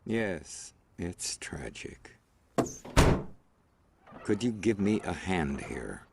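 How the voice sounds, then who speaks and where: A cold, mocking crime boss in a video game. His deep, gravelly voice moves slowly and condescendingly, with noise behind it. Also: big pitch swings, exaggerated voice. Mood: cold mockery. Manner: slow, silky, condescending. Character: menacing older male crime boss, coldly mocking and condescending. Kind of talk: video game cutscene acting.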